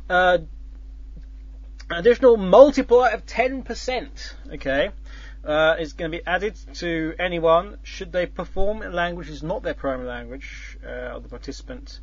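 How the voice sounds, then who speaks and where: A man hosting a casual talk, his voice sitting a little high. He rambles through the explanation, full of ums and restarts and the odd tease. The recording is dull and lo-fi. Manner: rambling, many fillers and restarts, teasing. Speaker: host. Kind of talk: casual chat explaining a contest's scoring. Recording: dull lo-fi sound.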